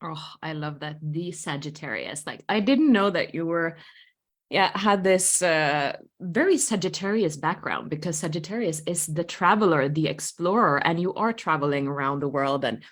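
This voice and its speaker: A woman hosting a podcast who asks questions in a warm, enthusiastic, chatty way. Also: low voice, lively intonation, moderate pace. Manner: enthusiastic, gushing. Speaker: podcast host. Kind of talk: casual interview chat.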